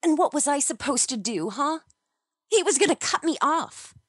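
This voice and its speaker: A woman's voice: high voice, expressive, moderate pace, a bit bright, no echo, studio quality. An actress playing a seductive, scheming showgirl in a noir radio play, with a coquettish way of talking. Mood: defiant, indignant. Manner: sultry, coquettish.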